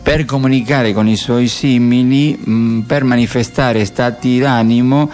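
An actor playing a whimsical, courteous radio host in a radio play, announcing in a hesitant, conversational way. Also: male voice, medium pitch, steady tone, measured pace, darkish tone, faint music behind, close to an everyday voice. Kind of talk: radio theatre hosting.

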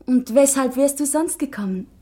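A woman playing an anxious, intense schoolgirl confiding in her mother in a literary radio play, with earnest, halting delivery. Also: high-pitched, mellow, close to an everyday voice.

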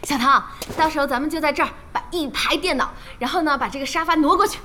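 An actress playing a spirited, outspoken young female boss in a radio drama, with a brisk and expressive manner. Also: high voice, a little hiss or hum. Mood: proud. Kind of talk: Chinese radio drama acting.

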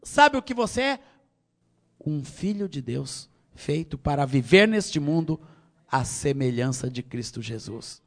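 Gravelly Brazilian preacher, rising and falling. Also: male voice, sing-song, measured pace. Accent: Brazilian Portuguese. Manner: preaching with big swings from loud high exhortation to quiet low tones. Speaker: pastor. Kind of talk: sermon.

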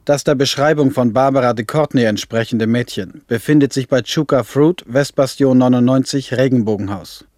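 An actor playing an official who delivers a clipped bulletin in a radio drama. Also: male voice, mid-range voice, normal speed, hardly any pauses, a little mellow, dry recording, natural voice. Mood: official, businesslike. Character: official male announcer reporting a wanted girl.

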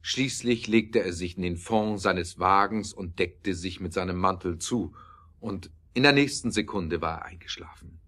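Low-voiced man narrating an audio drama. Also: moderate pace, fairly bright tone.

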